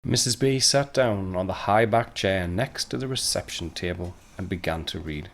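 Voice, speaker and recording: A man's voice: sits low, normal speed, vocal fry. A man playing a wry narrator, telling a 1919 tale in a dramatic storytelling style for an audio drama.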